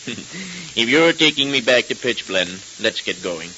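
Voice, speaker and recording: A hissy, muffled 1930s radio recording of a masked vigilante rider. He is wry and resigned, with a bright, forceful voice at a middling pitch. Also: very animated, slow pace, full character voice.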